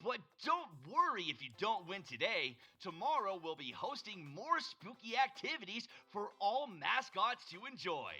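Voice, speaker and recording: A woman's voice: medium pitch, big pitch swings, moderate pace, hardly any pauses, pushed and bright, soft background music. A woman playing an over-cheerful school PA announcer in a visual novel, bubbly and rallying. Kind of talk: voiced visual novel acting.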